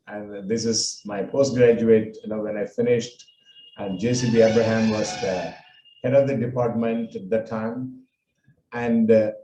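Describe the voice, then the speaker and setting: Older Tamil-accented man, halting. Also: mid-range voice, mellow, roomy sound, low-quality recording. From India. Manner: halting, with frequent fillers and restarts. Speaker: speaker recounting his life. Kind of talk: personal talk with slides.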